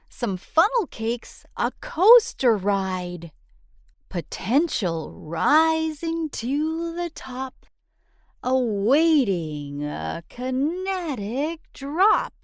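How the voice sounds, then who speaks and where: A woman reading a rhyming children's book aloud in an expressive, sing-song storyteller's delivery. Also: a little high, very slow, dry recording, studio quality.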